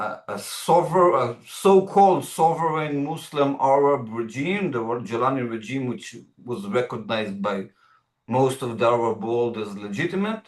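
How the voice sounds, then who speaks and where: A man co-hosting an online panel discussion, measured and thoughtful, pausing and restarting as he works through his points. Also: mid-range voice, reverberant. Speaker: founder of a Middle East center, co-host. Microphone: video call.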